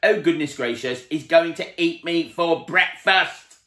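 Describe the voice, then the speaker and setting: A man's voice: a little high, unhurried, sharp tone, reverberant, voice pushed up. A man voicing a small, panicky storybook character who wails and repeats his words in terror. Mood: terrified. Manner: exclamatory wailing, stammering, repeating words. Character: panicky, trembling little male storybook character, easily terrified.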